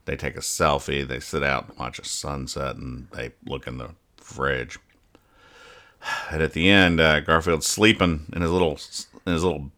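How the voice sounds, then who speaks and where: A man's voice: rumbling low, fairly even intonation, normal speed, sharp tone. A man hosting a casual comedy podcast, reading out and explaining things in a rambling, mock-serious way. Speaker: host of a comics-reading podcast.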